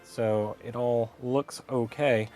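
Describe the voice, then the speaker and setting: A man with a medium-pitched voice hosts a hands-on hobby video. He speaks casually and without a script, pausing and thinking aloud as he works through each step. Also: fairly even intonation, a little mellow, faint music behind. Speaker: host of an electronics hobby vlog. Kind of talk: casual unscripted build narration.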